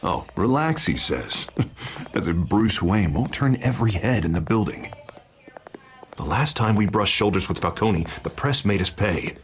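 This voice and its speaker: An actor playing a wary but loyal American politician friend in a video game, wry and emphatic. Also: male voice, lowish voice, lively intonation, measured pace, a little hiss or hum. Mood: wry, exasperated.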